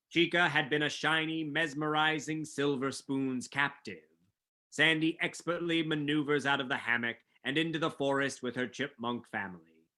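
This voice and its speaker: A man's voice: a little high, sharp tone. A man narrating stage directions from a script at a staged reading.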